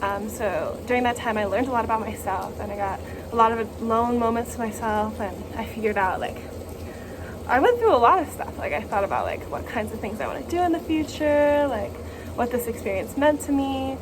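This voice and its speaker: A woman's voice: on the high side, expressive, brisk, background noise. A woman giving a casual, informal personal message, with youthful phrasing peppered with "like". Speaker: speaker sharing a personal story for an online worship service.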